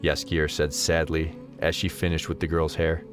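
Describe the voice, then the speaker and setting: A man narrating a scripted story in an expressive storytelling manner. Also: very deep voice, normal speed, soft background music.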